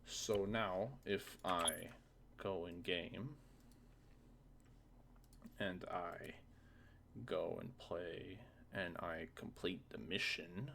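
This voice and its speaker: A man's voice: low voice, fairly even intonation, extremely slow, creaky, long pauses, fairly bright tone. A man presenting a casual screen-recorded game modding tutorial, informally thinking aloud with some hesitant repetition. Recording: faint noise, keyboard clicks.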